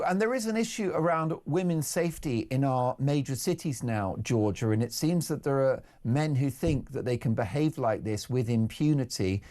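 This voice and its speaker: Male talk-radio host, mid-pitched, conversational, asks pointed questions. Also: a little mellow.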